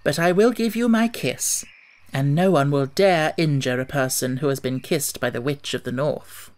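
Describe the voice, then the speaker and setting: A little old witch lady from a children's storybook, acted out by a man. She is kindly and solemn, speaking in a gentle, reassuring manner. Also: fairly high pitch, normal speed, natural voice. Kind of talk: audiobook character voice.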